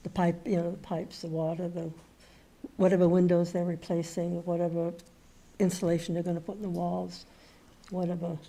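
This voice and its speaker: A woman chairing a town planning board meeting, with a slightly raspy voice, often hesitating and repeating words as she thinks. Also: lowish voice, level tone, normal speed, dark tone, poor audio. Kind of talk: municipal meeting discussion.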